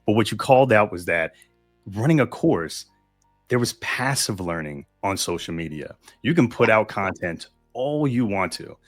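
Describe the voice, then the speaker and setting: A man hosting an online event, summing up a guest's points with steady affirmations and many fillers over a video call. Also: on the low side, normal speed, no echo, soft background music. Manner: summarizing, affirming, filler-heavy. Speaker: event host. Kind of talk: interview hosting.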